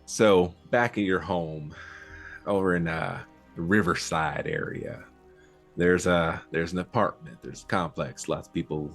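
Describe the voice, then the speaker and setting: Guy doing a low, smooth, creepy, smiling dude, slow and menacing, faint music under it. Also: fairly bright tone, heavily acted. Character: smiling shady man, menacing, cigarette-smoking.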